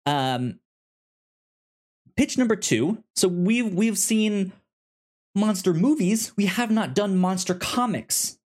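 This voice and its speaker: A man's voice: sits high, lively intonation, slow, pauses often, a little mellow. A man chatting casually as a podcast co-host, often stammering and repeating sounds and the starts of words. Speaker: podcast co-host pitching films and comics.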